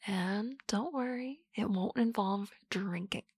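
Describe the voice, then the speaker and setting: A woman playing a teasing, confident office boss in a soft, close, whispered ASMR roleplay. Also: mid-range voice, slow pace, husky, no room sound.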